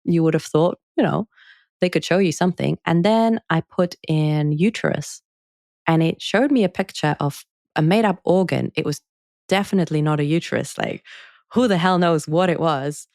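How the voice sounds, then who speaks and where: Woman author guest, gravelly and dry-miked, measured and articulate with a wry edge. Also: low voice, darkish tone, no room sound. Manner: articulate, measured, wry when telling anecdotes. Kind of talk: podcast interview answers.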